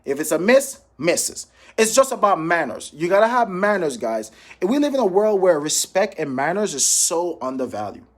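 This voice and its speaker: A man's voice: sits high, very animated, moderate pace, few pauses. A man from Jamaica giving an informal motivational training talk as a sales coach, emphatic, repeating key phrases and checking in with his listeners. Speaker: financial professional coaching sales calls. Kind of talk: informal motivational training talk with role-played phone examples.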